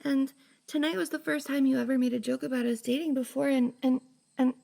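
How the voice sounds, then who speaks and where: A woman playing a shy, flustered young cosplayer in an intimate roleplay ASMR drama, hesitant and breathy, often sighing and dropping to a whisper. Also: high voice, steady tone, brisk, warm tone, exaggerated voice. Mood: anxious, embarrassed.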